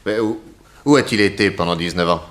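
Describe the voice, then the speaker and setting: A really low-voiced detective, polite but probing, old french radio mystery from the 60s. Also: male voice, brisk, a bit bright. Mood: curious. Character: probing, courteous male private detective. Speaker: lead actor in a detective serial.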